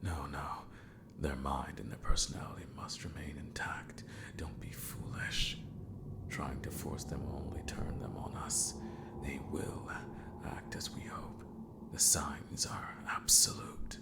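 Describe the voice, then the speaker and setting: Guy doing a scheming evil mentor for asmr, super deep gravelly voice, calm n formal, half whispering, kinda unhinged. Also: full character voice. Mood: secretive muttering. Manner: slow, deliberate, formal, dropping often into whispered muttering. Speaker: villainous mentor training an apprentice. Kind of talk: scripted ASMR roleplay.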